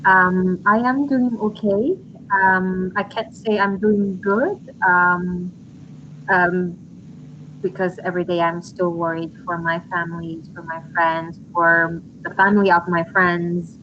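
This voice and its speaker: A woman in her twenties, a guest speaking earnestly and hesitantly over a video call, pausing often on ums. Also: fairly low pitch, steady tone, lo-fi sound, slight background noise. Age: 20s. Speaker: interview guest.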